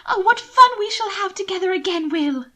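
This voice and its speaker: A woman's voice: extremely high pitch, animated, fairly quick, pushed and bright, voice pushed up, full character voice. A woman voicing a doting, manipulative upper-class young lady for an audiobook, coaxing and full of affected endearments. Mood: gushing, delighted. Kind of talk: audiobook character acting.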